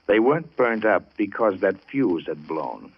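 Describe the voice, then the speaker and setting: Low-voiced brusque police detective. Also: male voice, very animated, a little slow, slightly rough, heavily acted. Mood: skeptical, grudging. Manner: brusque, folksy slangy speech. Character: gruff, skeptical, impatient small-town police detective. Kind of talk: 1940s radio drama acting. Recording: dull, noisy old radio recording.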